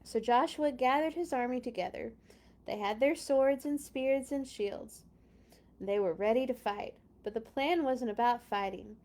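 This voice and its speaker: A woman's voice: a little high, a little slow, a little mellow. A woman hosting a children's read-along, reading a storybook expressively with chatty, playful asides and dramatic emphasis.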